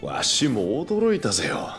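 Lively samurai with Tosa accent. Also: male voice, medium pitch, measured pace, creaky, soft background music, exaggerated voice. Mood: friendly. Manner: rustic, familiar, expressive. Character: hearty, impulsive young samurai from the provinces. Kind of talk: Japanese video game cutscene acting.

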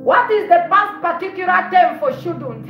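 Woman, 40s, home preacher, emphatic and repetitive; high-pitched, echoey lo-fi room sound. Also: lively intonation, moderate pace, fairly bright tone, faint music behind. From Zambia. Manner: emphatic, repeats words, moves about while preaching. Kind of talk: fiery home sermon. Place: living room of a house, indoors. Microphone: phone camera on a stand at a short distance. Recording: roomy, dull lo-fi phone audio.

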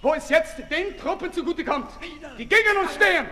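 An actor in a 1960s German radio play, playing a fiery revolutionary tribune with urgent, forceful oratory. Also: male voice, very high voice, lively intonation, fairly quick, loud music behind the voice. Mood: fiery, accusing. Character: fiery male revolutionary tribune haranguing the National Assembly. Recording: reverberant 1960s radio recording.

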